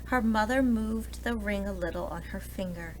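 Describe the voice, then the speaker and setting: A woman's voice: mid-range voice, normal speed, slight background noise, low-quality recording. A woman reading a children's storybook aloud expressively, like a preschool teacher addressing young listeners. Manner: explains words and asks listeners questions.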